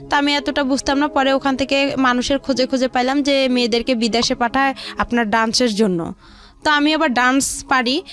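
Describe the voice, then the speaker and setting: A young woman with a Bangladeshi accent speaks in a clearly high voice. She tells her own story as a studio guest, in colloquial, looping sentences that repeat themselves. Music plays behind her. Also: a bit bright. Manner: rambling, colloquial, repeats phrases. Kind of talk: personal storytelling in a studio interview. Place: radio studio.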